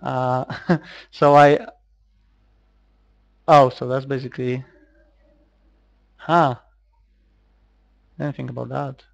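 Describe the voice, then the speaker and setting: A man's voice: mid-range voice, steady tone, extremely slow, creaky, pauses often, no echo, dull audio. A man from Poland with a Polish accent giving casual, hesitant live commentary on a card game, thinking aloud as he plays. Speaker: online card game player recording gameplay.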